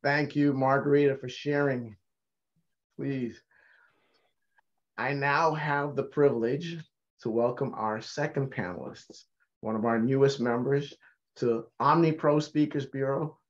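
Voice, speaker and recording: A man moderating a panel, reading a guest's introduction in a formal, measured way. His voice is mid-pitched and comes through muffled, lo-fi audio. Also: steady tone.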